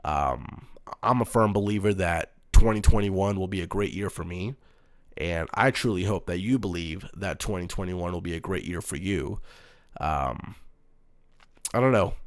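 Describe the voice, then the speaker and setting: Deep-voiced guy from the us doing a solo sports talk show, flat monotone, dry close sound. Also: dry recording. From United States. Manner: monotone, calm and earnest with frequent "um"s.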